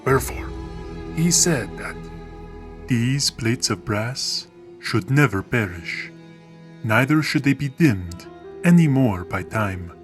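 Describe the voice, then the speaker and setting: A man narrating scripture from a script in a solemn, measured, deliberate manner. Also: mid-range voice, lively intonation, soft background music.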